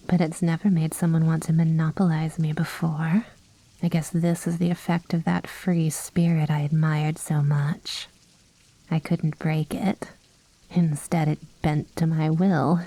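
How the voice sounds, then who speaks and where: Casting: a woman playing a vampire mistress for whispered ASMR drama; breathy, slow, silkily condescending, possessive. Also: lowish voice, heavily acted, low-quality recording. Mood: amused wonder. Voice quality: soft, breathy, much of it whispered. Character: commanding, seductive female vampire noble, coaxing and possessive.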